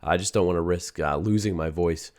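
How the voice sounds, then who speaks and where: A man hosting a news show, talking through the day's stories in a conversational way with a hoarse, worn-out voice. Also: low voice, brisk, darkish tone. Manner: conversational, reads quotes aloud, some restarts. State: hoarse, voice a little shot. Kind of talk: solo news commentary.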